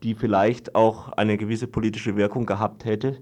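A man giving an unscripted radio report, explaining things haltingly in long-winded sentences. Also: on the low side, level tone, a little mellow. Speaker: guest reporting on a court trial.

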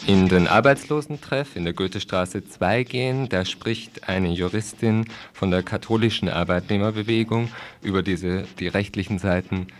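A man's voice: sits low, moderate pace, few pauses. A man presenting radio event listings, reading in a wry, ironic manner. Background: music.

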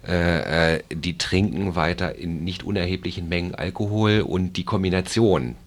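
Low-voiced man explaining deliberately. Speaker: drug treatment programme clinician. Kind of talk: panel discussion, explanatory.

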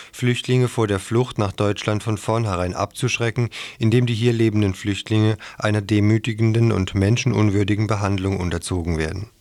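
A man's voice: fairly low pitch, darkish tone, dry recording. A man reading scripted news as a radio presenter.

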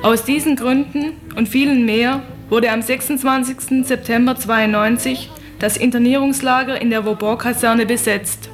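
A woman with a high voice reads a scripted political report. The recording is very echoey with a long reverb tail, and music plays clearly behind her. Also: fairly even intonation, normal speed. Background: prominent music.

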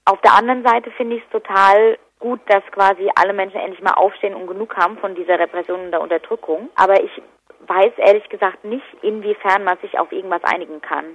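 A woman's voice: mid-range voice, steady tone, fast. A woman giving spontaneous interview answers as an activist. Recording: dull, lo-fi telephone line.

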